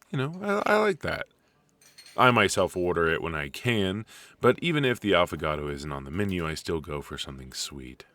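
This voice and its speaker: Deep-voiced, rambling, flirty coffee date played by a man. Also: dry recording, exaggerated voice.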